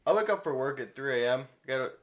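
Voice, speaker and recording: A man with an average-pitched voice chats casually as a podcast co-host. He talks in an excitable, rambling manner and swears freely. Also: quick pace. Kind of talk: casual chat.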